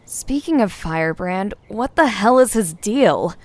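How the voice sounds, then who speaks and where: A woman's voice: mid-range voice, lively intonation, a little slow, no room sound, lightly acted. An actress playing an embattled woman puzzling over a supernatural mystery in an audio drama. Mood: exasperated wondering.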